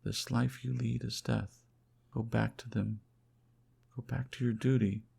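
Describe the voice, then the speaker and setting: A man voicing a tender young woman in love, soft and pleading, in an audiobook. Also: medium pitch, flat delivery, a little slow, vocal fry, slightly rough, voice pushed up, full character voice. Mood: tearful pleading. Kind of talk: audiobook character voice.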